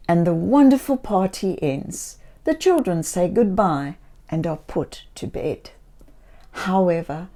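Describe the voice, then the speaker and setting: A woman reading a picture book aloud to young children in a theatrical way, slipping into hushed tones and exclamations and gently questioning her listeners. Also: on the low side. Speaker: children's storybook reader.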